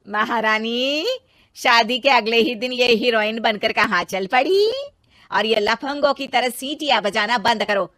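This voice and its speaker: A woman's voice: a little high, measured pace, a bit bright, no room sound. A woman voicing a strict, scolding middle-aged mother-in-law in a cartoon story, talking in a dramatic way.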